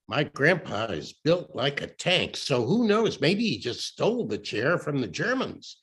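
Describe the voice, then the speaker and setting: A man narrates a literary story in the first person. His voice is husky and his pitch swings widely for dramatic effect. He takes his time, keeping a slow, measured storytelling pace. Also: fairly bright tone. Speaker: reader of a short story.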